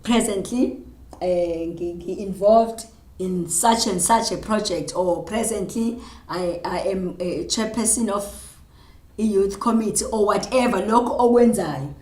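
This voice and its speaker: South African woman, Zulu accent, mid-pitched teacher dictating slowly, code-switching, echoey classroom. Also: darkish tone. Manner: mixes Zulu and English, dictates slowly while writing. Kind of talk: classroom lesson on job applications. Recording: roomy, echoey room.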